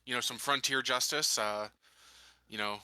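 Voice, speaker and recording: A man's voice: medium pitch, fairly even intonation, moderate pace, crowd noise. A man taking part in a tabletop roleplaying game, talking it through casually as a player.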